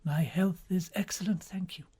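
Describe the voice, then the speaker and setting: A man plays a devout, gentle cardinal in an audiobook novel. The voice is breathy and soft-spoken, with polite, formal phrasing. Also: high voice, fairly even intonation, moderate pace.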